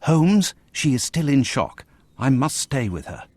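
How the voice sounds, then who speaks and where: An actor playing an earnest, excitable Victorian gentleman, a detective's loyal companion, with a British accent and a formal, exclamatory delivery in an adventure game. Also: medium pitch, unhurried. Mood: alarmed, concerned. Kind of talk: scripted adventure game dialogue.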